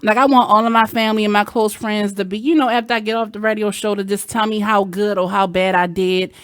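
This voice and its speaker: A woman's voice: medium pitch, steady tone, fast talker, no room sound, clean studio sound. A woman radio host with a Baltimore accent, chatting candidly with sassy asides. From Baltimore, Maryland. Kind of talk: casual chat. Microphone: headset.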